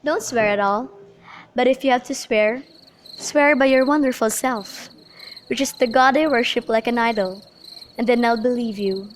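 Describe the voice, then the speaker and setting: Woman as earnest young romantic heroine, amateur radio Shakespeare, higher register, slightly noisy home recording with insects. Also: moderate pace, natural voice. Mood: earnest, cautious. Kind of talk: student radio drama acting of modernized Shakespeare.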